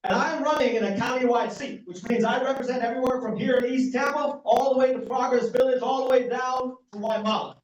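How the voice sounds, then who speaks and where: High-voiced man firing up a crowd. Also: fast, hardly any pauses. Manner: energetic, polls the crowd with rhetorical questions. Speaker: political candidate, physician. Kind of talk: campaign speech at a forum. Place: community meeting room. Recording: echoey, distant and dull room recording.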